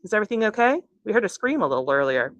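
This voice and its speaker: A woman's voice: mid-range voice, sing-song, rapid-fire, no room sound, muffled recording, pitched above the performer's own voice, close to an everyday voice. A woman reading a novel aloud, voicing a good-natured, polite teenage boy. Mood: friendly. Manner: easygoing, kindly, polite. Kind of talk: acted dialogue in a novel reading.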